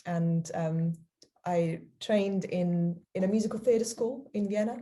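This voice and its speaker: This woman, her voice pitched fairly low and mellow in tone. She answers questions casually and hesitantly, filling pauses with ums and laughing easily. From Germany. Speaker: guest, charity founder.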